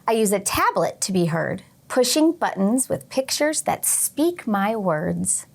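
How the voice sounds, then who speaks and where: Female teacher, very unhurried delivery, mid-range pitch, expressive children's read-aloud addressed straight to young listeners. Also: vocal fry. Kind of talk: children's picture book read-aloud with short teaching asides.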